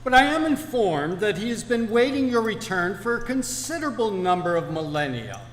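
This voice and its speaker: Man playing a stiff, deferential waiter, high-pitched. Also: big pitch swings, normal speed, a little hiss or hum. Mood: stiffly polite. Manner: formal, pedantic, obsequious. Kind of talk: comic stage radio-play dialogue. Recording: echoey hall, live stage.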